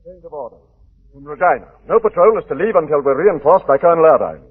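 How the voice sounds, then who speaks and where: An actor playing an authoritative fort commander, a police inspector, with brisk, formal, commanding 1940s radio delivery. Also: male voice, fairly high pitch, animated, slightly rough. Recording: tinny, narrow 1940s radio recording.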